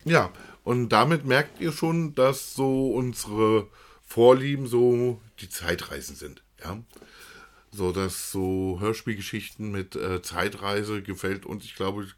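Male podcast co-host, low voice, casual rambling talk, addresses listeners directly. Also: slow. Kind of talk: casual chat about audio dramas.

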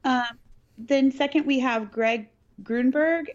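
A woman's voice: high-pitched, slow pace, highs cut off. A woman co-hosting a podcast in casual, profane, joking chat, with a scratchy, partly lost voice. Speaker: podcast co-host.

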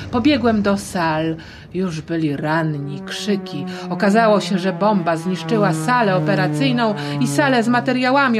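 A woman voicing an elderly male doctor, shaken and dutiful, giving a measured eyewitness account in an audio drama interview. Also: medium pitch, voice pushed down, natural voice.

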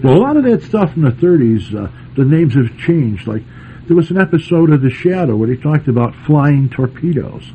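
A man's voice: a little high, sing-song, normal speed, warm tone. A man hosting a radio show, chatting casually and jokingly about old-time radio. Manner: teasing, joking. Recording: noisy phone-like lo-fi radio sound.

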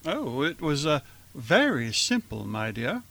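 An affectionate elderly gentleman in a radio drama, acted by a man. His voice is fairly high and swoops widely in pitch, musing and fond, recorded close and dry. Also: sing-song, unhurried, vocal fry, no room sound, full character voice. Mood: hesitant, gentle. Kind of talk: radio theater acting.

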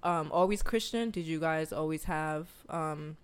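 A woman's voice: deep voice, a little slow, clean tone. A woman in her twenties hosting a podcast in a casual, conversational way. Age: 20s. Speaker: podcast host.

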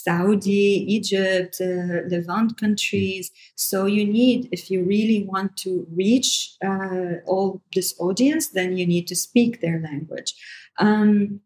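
A woman's voice: mid-range voice, fairly even intonation, slow, darkish tone, poor audio. A woman from Syria, a native Arabic speaker, talking informally as an interview guest and giving advice with frequent asides. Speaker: podcast guest, content agency founder.

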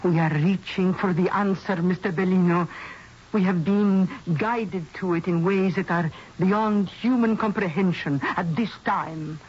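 An actress in an old radio drama playing an enigmatic spirit medium who speaks formally and evasively, sure of herself. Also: female voice, fairly low pitch, a little mellow, husky. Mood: knowing, explanatory. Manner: formal, measured, mysterious, evasive. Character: enigmatic, self-assured female spirit medium. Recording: dull, lo-fi vintage radio recording.

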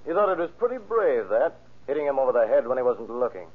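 A man's voice: mid-range voice, big pitch swings, fast talker, breathy edge. An American actor playing a confident, needling lawman grilling a suspect in a vintage radio drama. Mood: taunting. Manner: taunting, emphatic. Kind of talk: 1930s radio drama acting. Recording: muffled, band-limited old radio recording.

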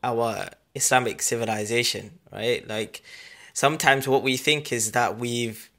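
Bright-voiced man hosting. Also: medium pitch, fairly even intonation, unhurried. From England. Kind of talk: podcast conversation.

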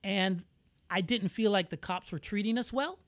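A man with an American accent co-hosting a podcast, talking in a candid, reflective and measured way. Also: high-pitched, no room sound. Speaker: podcast co-host. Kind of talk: casual conversational podcast.

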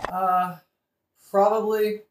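An actor in a satirical audio play playing a dry, matter-of-fact character who answers in a deadpan, officious way. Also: mid-range voice, drawn-out words, background noise. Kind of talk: satirical modern-language audio play acting. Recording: echoey room.

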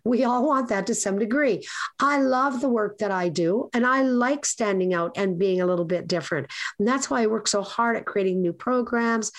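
A woman with a raspy, hoarse voice hosting a podcast, giving earnest, rambling advice in a casual lecturing way. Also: mid-range voice. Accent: Canadian. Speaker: podcast host.

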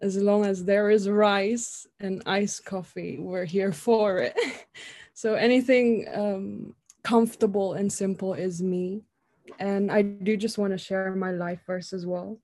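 A woman in her twenties from the Philippines, a podcast co-host and student, chatting in an easygoing, soft way. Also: mid-range voice, fairly even intonation, slow pace, no room sound, clean studio sound. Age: 20s. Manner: easygoing, soft, laughs lightly. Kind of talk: casual podcast introduction.

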